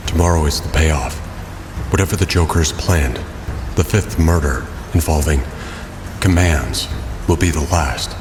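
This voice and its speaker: A man's voice: extremely low pitch, a little slow, noisy background. A man acting a grim, weary masked crime-fighter in an audio drama, terse and brooding. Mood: brooding, commanding. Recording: cavernous long reverb, music underneath.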